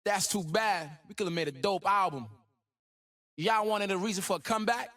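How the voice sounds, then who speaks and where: A man's voice: sits high, normal speed, pushed and bright. A man voicing a cocky young rapper prodigy in a video game, delivering rhymed boasts with a swaggering, rhythmic flow. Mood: cocky taunting. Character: cocky young gifted male rapper prodigy, playful, proud. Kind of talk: rhymed, rap-like game voice lines.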